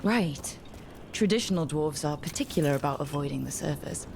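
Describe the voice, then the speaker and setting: An actress playing a calm, supportive woman hero in a fantasy video game, speaking in a reassuring, conversational way. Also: low voice, moderate pace, vocal fry, a little hiss or hum. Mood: curious. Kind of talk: video game dialogue acting. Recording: loud game music behind the voice. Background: loud game soundtrack music.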